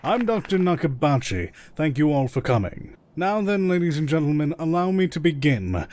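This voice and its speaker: A man's voice: a little high, very animated, moderate pace, a little mellow. A man playing a self-important, irritable inventor in an anime fandub, with a pompous delivery. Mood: grandiose. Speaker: amateur voice actor.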